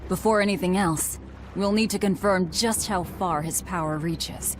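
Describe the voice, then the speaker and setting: Low-voiced, hard-edged young warrior woman, played by an actress. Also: moderate pace, faint music behind, natural voice. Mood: cool, commanding. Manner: blunt, cool, commanding. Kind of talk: dramatic English game voice acting.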